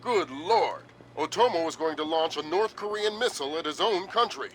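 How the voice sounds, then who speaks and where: An actor playing a grave spy commander in a video game, briefing in a clipped, authoritative, wry manner. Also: male voice, fairly high pitch, lively intonation, moderate pace, a bit bright, a little hiss or hum, low-quality recording. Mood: urgent, grave.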